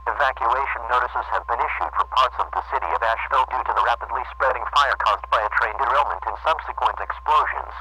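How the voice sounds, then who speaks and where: Guy doing emergency alert announcer, really sharp raspy voice, stern n formal. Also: medium pitch, poor audio.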